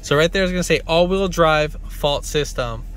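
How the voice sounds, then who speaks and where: A man in his thirties, an auto mechanic, talks viewers through a repair in an informal, halting, conversational way into a handheld phone. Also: fairly high pitch, fairly bright tone, faint music behind. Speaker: auto mechanic and channel host. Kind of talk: informal hands-on repair explainer to camera. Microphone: handheld phone at arm's length.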